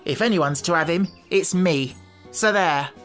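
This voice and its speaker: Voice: medium pitch, unhurried, a bit bright, soft background music, natural voice. A storybook reader voicing a sour footman who sneers and answers back. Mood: defiant.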